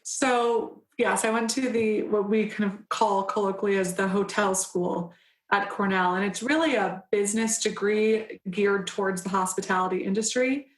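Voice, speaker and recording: A young adult woman council member answering interview questions in an earnest, measured way, with frequent pauses and restarts. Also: medium pitch, roomy sound, poor audio.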